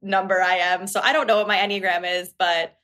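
A woman's voice: fairly low pitch, steady tone, brisk, fairly bright tone. A young adult woman guest chatting casually, self-deprecating and laughing. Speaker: managing editor, podcast guest. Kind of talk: casual chat.

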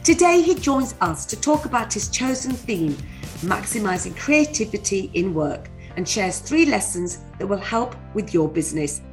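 A woman's voice: mid-range voice, big pitch swings, moderate pace, soft background music. A woman hosting a podcast interview, chatty and reassuring with her guest. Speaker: podcast host.

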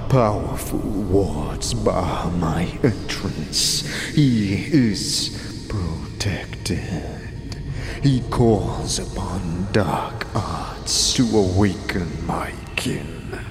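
Slow, cavernous, wrathful ancient ghost. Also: male voice, deep voice, heavily acted. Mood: sorrowful, bitter. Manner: grave, halting archaic speech in clipped fragments. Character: wrathful, grieving ancient ghost guarding a mausoleum. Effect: cavernous long reverb. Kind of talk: fantasy audio drama acting. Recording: long reverb under loud music.

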